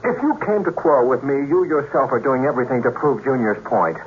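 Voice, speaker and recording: A man's voice: mid-range voice, animated, a little fast, natural voice. A man playing an earnest, harried young lover who explains and pleads, with an American accent, in a 1950s radio drama. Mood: agitated, defeated. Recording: muffled, noisy 1950s radio recording.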